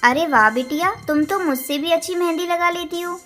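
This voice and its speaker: Bright, high-pitched motherly parrot played by a woman. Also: brisk, faint music behind. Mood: cheerful, reassuring. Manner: caring, reassuring, earnest. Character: kindly motherly adult female green parrot. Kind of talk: Hindi cartoon dialogue.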